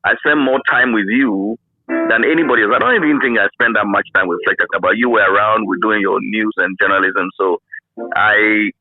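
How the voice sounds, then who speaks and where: A man's voice: on the low side, brisk, soft background music. A middle-aged man reminiscing as an interview guest in casual conversation, effusive and emphatic, often repeating his phrases. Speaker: interview guest, former radio broadcaster. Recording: thin, narrow phone-like line.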